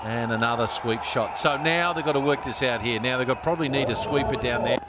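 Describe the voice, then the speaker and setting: A man's voice: medium pitch, a little fast, a loud crowd, heavy background noise. A man giving live cricket commentary in short analytical remarks. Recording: loud music behind. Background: loud music.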